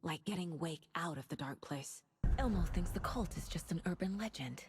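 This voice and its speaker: An actress playing a troubled, determined woman detective in a video game, thinking aloud in an intense, deliberate way. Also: lowish voice, animated, over music. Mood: focused, deducing. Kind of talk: dramatic video game voice acting.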